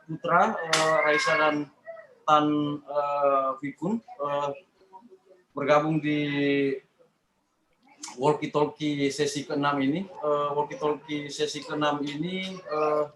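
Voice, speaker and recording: A man with an Indonesian accent casually hosting and introducing an online talk, halting as he feels for words. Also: fairly high pitch, flat delivery, fairly bright tone. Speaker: host introducing the session. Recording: roomy echo, clearly noisy online call.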